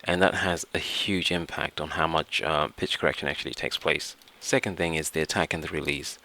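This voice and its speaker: A man presenting a step-by-step software tutorial, explaining things and often repeating and rephrasing his phrases. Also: extremely low pitch, steady tone, brisk, vocal fry.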